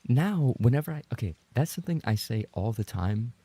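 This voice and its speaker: A man chatting casually as a livestreamer, rambling and trading playful banter with his viewers, in a gravelly, husky voice. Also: mid-range voice, animated, brisk, mellow, no room sound. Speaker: livestreamer and ASMR voice actor reading chat.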